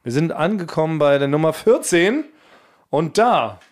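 Playful man with a higher-pitched voice. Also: big pitch swings, normal speed. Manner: playful, mock-serious. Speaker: podcast co-host. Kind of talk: casual comedic banter.

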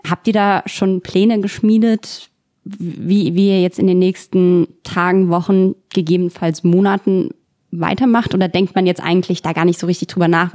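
A woman's voice: on the low side, warm tone, no echo, clean studio sound. A woman hosting an interview podcast, asking long, friendly, rambling questions. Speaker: podcast host.

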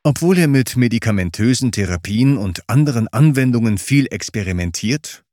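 A man narrating a scripted documentary in a measured, factual way. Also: medium pitch, a little mellow, dry recording, studio quality.

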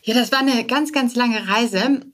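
A woman's voice: on the high side, measured pace, a bit bright. A woman in her thirties telling her story casually as a podcast guest, informally mixing in English business jargon. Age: 30s. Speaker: podcast guest, startup founder.

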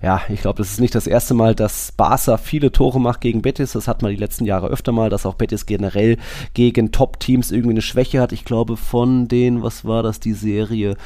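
A man's voice: fairly low pitch, fairly even intonation, fairly quick, dark tone, dry recording, clean studio sound. A man co-hosting a football podcast, casually going through results and statistics. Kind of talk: casual sports commentary with statistics.

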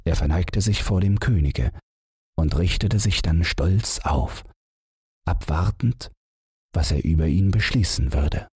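A man reads a bedtime fairy tale in scripted audiobook narration. His voice is deep and very husky, captured in a close, dry recording. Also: a little slow, a little mellow, dry recording.

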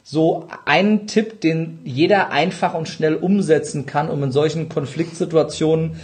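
A man interviewing casually and colloquially as a podcast host, with halting, rambling phrasing and repeated words. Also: a little high, fairly even intonation.

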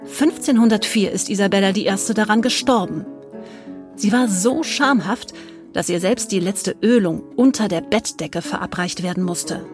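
A woman's voice: mid-range voice, moderate pace. A woman narrating scripted historical anecdotes in a storytelling style. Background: soft plucked guitar music.

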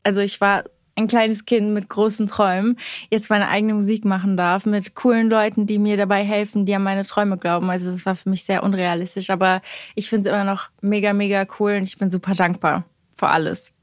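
A woman's voice: medium pitch, little pitch movement, fairly quick, dry recording, clean studio sound. A young woman singer with a Swiss German accent, chatting casually in an interview and laughing often. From Portugal, raised; moved to Switzerland. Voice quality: bubbly, laughs often, many fillers. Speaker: singer guest.

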